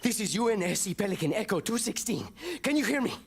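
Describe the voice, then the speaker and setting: A man's voice: mid-range voice, big pitch swings, takes it slowly, over music. A man playing a desperate, emotional military pilot in a cinematic video game, speaking with raw urgency. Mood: anxious, pleading. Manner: urgent, anxious muttering and pleading.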